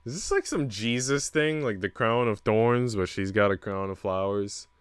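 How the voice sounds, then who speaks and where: A man's voice: on the low side, animated, normal speed, clean tone, dry recording. A man streaming a visual novel, reading its narration aloud and adding casual joking asides.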